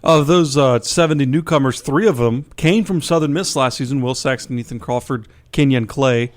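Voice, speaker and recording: A man's voice: mid-range voice, moderate pace. A man co-hosting a sports radio show, putting conversational interview questions to a guest.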